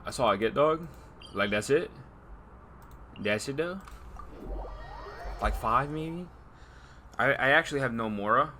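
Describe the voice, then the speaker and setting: A man's voice: mid-range voice, expressive, unhurried, long pauses, pushed and bright, a little hiss or hum. A man giving casual, slangy, exclamatory reaction commentary on a video game, dramatic in manner.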